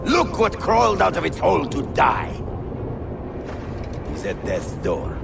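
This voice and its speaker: A man playing a ruthless, sneering military commander in a video game, menacing and taunting, with loud music over his voice. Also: on the high side, wildly swooping pitch, measured pace, vocal fry, exaggerated voice. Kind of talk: dramatic video game acting.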